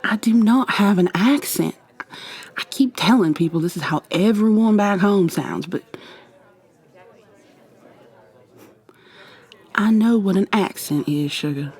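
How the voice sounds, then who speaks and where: A woman with an American accent playing a playful, flirty modern witch in an intimate ASMR roleplay, speaking softly and teasingly to the listener. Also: medium pitch, lively intonation, breathy edge. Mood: smitten, playful. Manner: teasing, affectionate, conversational with trailing pauses. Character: playful, flirty modern witch mentoring a new coven member.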